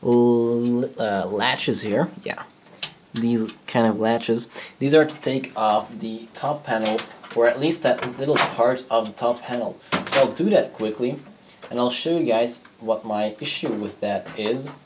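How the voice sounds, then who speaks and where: A young man with a cold talks through a hardware review at his desk, his voice slightly scratchy. He sits at an ordinary middle pitch and rambles casually, filling gaps with ums and uhs and correcting himself mid-sentence. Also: a little slow. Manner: rambling, many fillers and self-corrections. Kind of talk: casual product review walkthrough. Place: indoors at a desk, handling a PC case.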